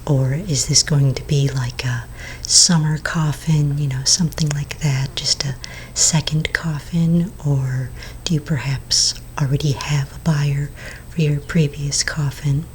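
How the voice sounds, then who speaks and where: A woman voicing a courteous vampire real estate agent in a soft-spoken, mostly whispered ASMR roleplay, polite, unhurried and attentive. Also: low-pitched, steady tone, a little hiss or hum. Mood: soothing, helpful.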